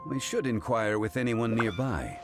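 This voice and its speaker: An actor playing a calm, dignified ancient god in human form who speaks formally and deliberately. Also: male voice, medium pitch, natural voice. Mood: composed. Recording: game audio with music behind.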